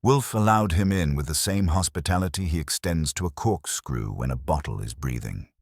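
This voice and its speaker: A man's voice: a bass voice, animated, moderate pace, creaky, breathy edge, no room sound, clean studio sound. A man reading a scripted detective story as narrator, in a wry first-person hardboiled manner.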